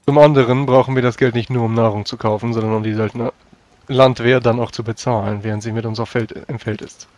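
A man's voice: medium pitch, steady tone, fast talker, darkish tone. A man playing in a tabletop roleplay, speaking in character with formal, old-fashioned phrasing. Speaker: tabletop role-player.

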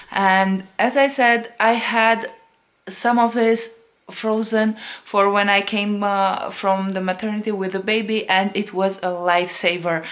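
A woman in her thirties talking casually as a cooking vlogger, recorded indoors at home by a camera a short distance away. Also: mid-range voice. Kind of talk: casual recipe vlog.

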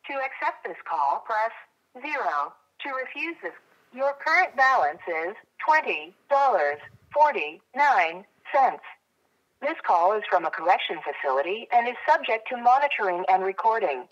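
A woman's voice: fairly high pitch, big pitch swings, normal speed, fairly bright tone. A woman's automated voice reading scripted announcements on a telephone system. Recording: dull lo-fi telephone line.

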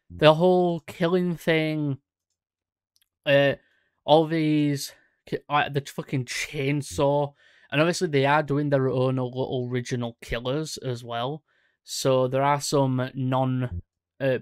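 A young man chats casually about horror games, wandering off and correcting himself as he goes. His delivery is slow and unhurried, with a smooth, clear tone. He speaks into a desk mic in a small, dry-sounding room. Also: medium pitch, no room sound. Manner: rambling, self-correcting, many fillers. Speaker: horror channel host. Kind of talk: casual reaction commentary. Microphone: studio mic on stand in front.

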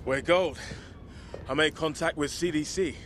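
A man's voice: a little high, steady tone, unhurried, background noise, close to an everyday voice. An actor playing a cocky, wisecracking survivor in a video game, a British man with glib, breezy bravado. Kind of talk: video game cutscene acting.

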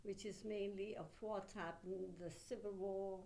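Older woman, Anglo-Burmese accent, author; unhurried, reflective, pausing. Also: mid-range voice, steady tone, mellow. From Burma. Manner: unhurried, reflective storytelling with pauses. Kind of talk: interview answers. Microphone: table mic on a stand.

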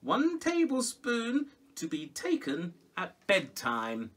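A middle-aged man telling a children's story in a theatrical, expressive way. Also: high-pitched, slow pace. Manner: theatrical, expressive, with hushed whispers and exclamations. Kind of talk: animated storybook reading. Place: small home music room indoors.

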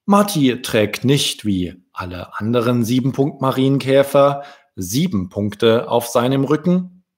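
A man in his thirties reading a story aloud to young children, gently addressing the listener directly. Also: medium pitch, expressive, unhurried. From Germany. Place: small room with wood-panelled wall. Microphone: desk microphone with pop filter, close.

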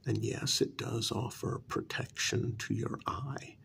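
A man doing a soft-spoken, often whispered ASMR role-play as a coaxing, placating talent agent, with deliberate, halting phrasing. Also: lowish voice, steady tone, creaky, slight background noise. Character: persuasive, placating talent agent to supernatural creatures.

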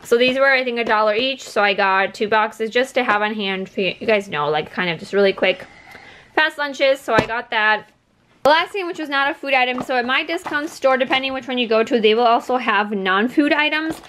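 A woman in her thirties talks to viewers in a casual, conversational ramble. Her voice sits a little high. She is recorded at home on a camera, with clear noise behind her. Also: brisk, a bit bright. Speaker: vlogger. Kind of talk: casual chatty haul video talk. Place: indoors at home by a wooden table.

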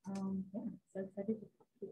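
A young woman from the Philippines, a soft-spoken student panelist. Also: medium pitch, little pitch movement, brisk, a little hiss or hum. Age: young adult. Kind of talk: casual panel discussion. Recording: tinny phone-like call audio.

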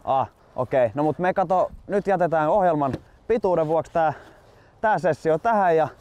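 A man's voice: a little high, a little mellow. A man in his twenties chatting casually as a co-host, outdoors. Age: 20s. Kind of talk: casual chat. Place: outdoors at a golf club. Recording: slightly dull, faint outdoor noise.

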